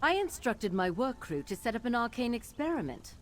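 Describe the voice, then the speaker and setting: A woman's voice: on the high side, big pitch swings, brisk. A woman voicing a haughty, evasive wizard master in a fantasy video game, imperious and dismissive. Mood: defensive, cool. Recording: music behind.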